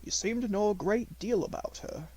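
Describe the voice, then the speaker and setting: A man's voice: high-pitched, moderate pace, a little mellow, dry recording, pitched above the performer's own voice, low-quality recording. A man voicing a young, inquisitive male secretary in a storybook, with a clipped, businesslike manner. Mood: curious, uneasy.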